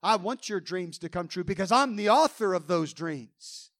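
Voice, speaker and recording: Fervent high-voiced preacher, a bit hoarse. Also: male voice, animated, normal speed, fairly bright tone, no echo, clean studio sound. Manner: fervent preaching, quoting scripture with rising emphasis. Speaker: pastor. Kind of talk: sermon.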